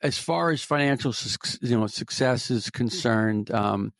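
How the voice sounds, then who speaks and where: A man's voice: mid-range voice, unhurried, vocal fry, dry recording, studio quality. A middle-aged man with a New York accent, a sales trainer speaking as a podcast guest in an emphatic, coaching way that repeats key phrases. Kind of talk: conversational interview.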